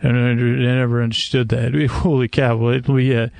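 A man hosting a bedtime podcast, talking in a creaky voice with a hesitant, meandering, measured and gently self-deprecating manner. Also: medium pitch, flat delivery, dry recording. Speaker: podcast host. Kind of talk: rambling, soothing bedtime monologue to help listeners sleep. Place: screened porch at night.

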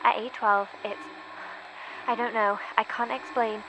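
A woman playing a frightened bunker survivor in a horror audio drama, speaking urgently and haltingly over a two-way radio. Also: a little high, fairly even intonation. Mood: frightened, hesitant. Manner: halting, breaking off sentences, urgent. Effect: two-way radio transmission. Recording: noisy radio transmission.

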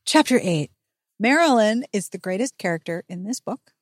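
A woman's voice: mid-range voice, animated, normal speed, no room sound, studio quality. A woman hosting a podcast, giving a casual, chatty recap with wry, emphatic asides and informal language. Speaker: podcast host.

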